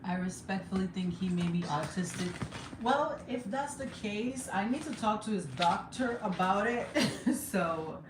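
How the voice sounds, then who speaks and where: Chatty Jamaican woman in an echoey room. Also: a little slow. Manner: chatty, laughs often, bursts into excited high exclamations. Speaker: livestreamer and mother. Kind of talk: casual live chat. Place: home room. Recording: echoey room with background noise.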